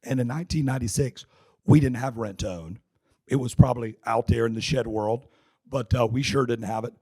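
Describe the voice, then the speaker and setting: A middle-aged man from North Carolina, giving a joking, chatty seminar talk with a raspy voice hoarse from laryngitis. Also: mid-range voice, brisk, a little mellow. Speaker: seminar speaker. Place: conference hall. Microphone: handheld mic.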